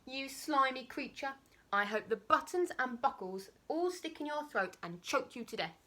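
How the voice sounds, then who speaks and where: Excitable, high-pitched storybook monkey, voiced by a woman. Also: normal speed. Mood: indignant. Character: chatty, excitable, easily frightened male monkey.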